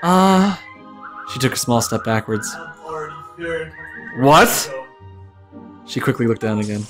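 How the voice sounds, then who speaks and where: A man's voice: fairly low pitch, little pitch movement, fast, faint music behind. A man reading a comic fan fiction story aloud as dramatic narration.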